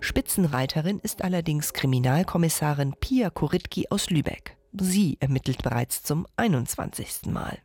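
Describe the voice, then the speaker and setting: A woman reporter reads a scripted radio feature. Her pitch moves a lot and her voice has a gravelly, husky grain. The delivery is measured. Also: animated, a little mellow, no echo.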